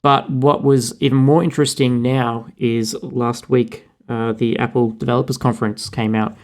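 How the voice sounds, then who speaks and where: A man's voice: medium pitch, flat delivery, moderate pace, a little mellow. Australian man explaining tech news. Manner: fluent, explanatory. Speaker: podcast co-host. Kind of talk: casual tech chat.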